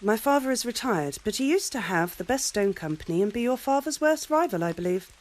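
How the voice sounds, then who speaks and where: A woman's voice: a little high, lively intonation, a little fast, lightly acted. An actress playing a bold, haughty well-off woman in her mid-twenties in an audio drama, forthright and formal. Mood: forthright, self-assured. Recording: light rain noise behind.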